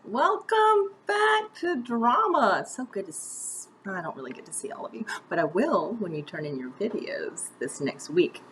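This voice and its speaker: A woman's voice: medium pitch, very animated, normal speed, hardly any pauses, fairly bright tone, slight background noise. A woman drama teacher giving a conversational, encouraging step-by-step lesson on a home-recorded video. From United States. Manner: conversational, encouraging step-by-step instruction with direct questions. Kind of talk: instructional video mini-lesson.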